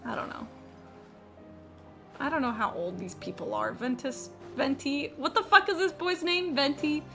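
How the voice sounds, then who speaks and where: A woman streaming a game live, commenting casually and reading dialogue aloud in a playful, expressive, jokey way. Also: sits high, moderate pace, creaky, over music.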